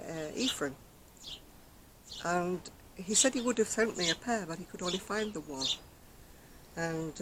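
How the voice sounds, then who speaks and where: An older woman talks casually to her viewers in a very soft, quiet voice that she cannot raise, rambling and gently poking fun at herself. She is outdoors on a decking with a camera close in front of her. Loud outdoor noise runs behind her voice the whole time. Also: on the low side. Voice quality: very soft, quiet voice after throat surgery. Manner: chatty, rambling, self-mocking, laughs softly. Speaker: craft vlogger. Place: outdoors on a decking by a brick wall. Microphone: camera in front at close range.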